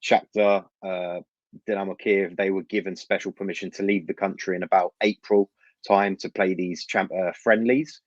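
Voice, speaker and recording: A man's voice: low-pitched, steady tone, moderate pace, no room sound. A man from London with a London accent, giving conversational answers as a guest football journalist, on a slightly dull microphone.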